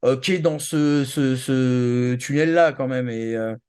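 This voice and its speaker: A man's voice: medium pitch, measured pace, a little mellow, clean tone. A man co-hosting a casual live chat show, hesitant and often repeating himself. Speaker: show co-host.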